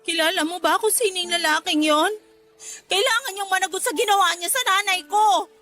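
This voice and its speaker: High-voiced remorseful young Filipina. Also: female voice, fairly quick, bright, forceful tone, faint music behind. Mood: serious. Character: remorseful young woman, teasing with her suitor. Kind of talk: Filipino radio drama dialogue.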